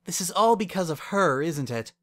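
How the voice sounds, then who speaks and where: Man voicing an earnest young mare, high-pitched and pleading. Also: lively intonation, normal speed, a bit bright. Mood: shocked, pleading. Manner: urgent, pleading. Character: earnest, worried young female pony, pleading friend.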